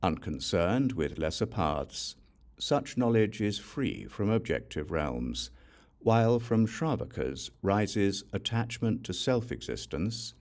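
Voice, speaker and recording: A man narrating a Buddhist scripture as an audiobook, solemn, measured and formal in his delivery. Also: on the low side, big pitch swings, a little mellow.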